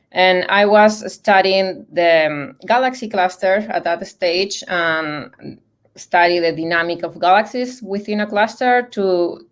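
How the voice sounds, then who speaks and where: A woman from Chile with a Chilean accent, a Spanish speaker in her thirties, giving an online lecture over a video call in a friendly way with many fillers. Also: on the low side.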